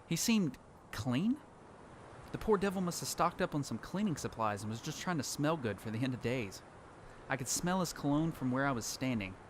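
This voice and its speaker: A man's voice: mid-range voice, expressive, moderate pace, slight background noise, lightly acted. A man playing a lone apocalypse survivor who tells his story in earnest, dramatic first-person narration for an audio drama. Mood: reflective. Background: music.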